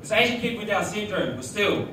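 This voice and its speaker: A young man doing stand-up in a small bar, his voice pitched fairly high and caught from the back of the room. The sound rings around a hard, echoey space, with loud crowd noise and laughter filling the gaps. He sets up jokes deadpan and laughs at his own punchlines. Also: a little fast, recorded from a distance. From Australia. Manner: deadpan one-liners with laughing asides. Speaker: stand-up comedian. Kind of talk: stand-up comedy set. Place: small restaurant bar, live audience. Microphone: handheld mic, filmed from far back.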